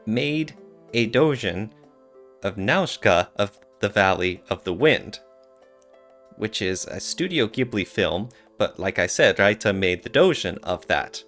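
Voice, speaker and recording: Low-voiced man co-hosting a podcast. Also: sing-song, unhurried, fairly bright tone, soft background music. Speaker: podcast co-host. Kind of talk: casual podcast discussion.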